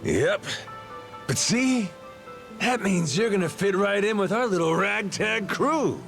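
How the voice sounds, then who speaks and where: A brash pirate captain from a video game, pitched a little high for a man. He is theatrical and playful, swinging widely in tone as he rallies his crew. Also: expressive, takes it slowly, a bit bright, soft background music, exaggerated voice. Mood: brash, insistent.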